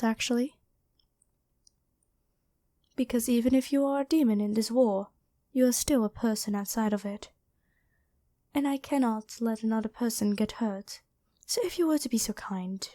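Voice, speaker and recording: Gentle, mellow angel healer played by a woman. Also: a little high. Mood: firm, reassuring, then tender, concerned. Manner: gentle, soothing speaking to one listener. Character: gentle, dutiful angel, former human medic, caring. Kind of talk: ASMR roleplay.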